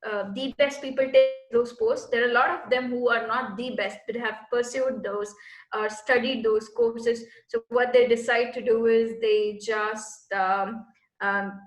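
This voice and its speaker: A woman, earnestly explaining her path as an interview guest and searching for words as she goes. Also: on the high side. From Bangalore, India. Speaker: guest, space entrepreneur. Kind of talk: casual interview answers. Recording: echoey room.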